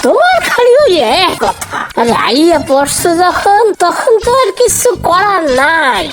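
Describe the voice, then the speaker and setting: A woman's voice: very high-pitched, big pitch swings, measured pace, full character voice. A woman voicing an impatient young husband who speaks in an East Bengal dialect and argues with his mother, over background music. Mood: exasperated. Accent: East Bengal (Bangal) dialect. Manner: exasperated, argumentative.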